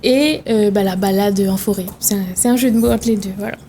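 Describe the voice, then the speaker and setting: A woman's voice: medium pitch, steady tone, brisk, mellow, a little hiss or hum. A young woman from Réunion, a musician guest answering interview questions thoughtfully, with fillers and restarts. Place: TV studio.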